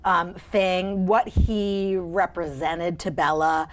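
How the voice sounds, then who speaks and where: A woman voice actor, a guest on a studio talk show, telling stories about her career in a lively, expressive way. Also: fairly low pitch, slow pace. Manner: animated, expressive storyteller. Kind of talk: interview storytelling. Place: TV studio couch.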